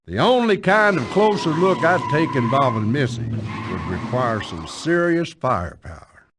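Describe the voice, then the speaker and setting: A man's voice: medium pitch, big pitch swings, a little slow, heavy background noise. A man playing a wry, folksy country narrator with an American Southern accent.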